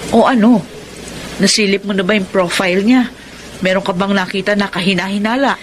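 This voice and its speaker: A woman's voice: medium pitch, steady tone, fairly quick, a little hiss or hum, natural voice. A woman with a Filipino accent playing a suspicious, scheming older confidante in a radio drama. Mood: conspiratorial, prying.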